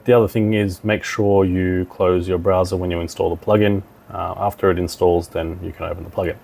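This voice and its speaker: A man with a fairly low voice that often drops into creak. He talks through a technical demonstration informally, step by step, thinking aloud as he goes. There is faint noise behind him. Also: little pitch movement, brisk, a little mellow. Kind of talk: software tutorial walkthrough, talking while clicking.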